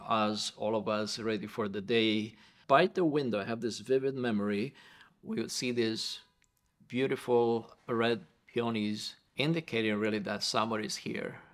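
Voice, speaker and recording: A middle-aged man with a Romanian accent shares his life story as an interview guest. His voice sits low, and he speaks in an earnest, reflective way, often doubling words as he searches for them. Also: a bit bright. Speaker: guest, pastor and professor.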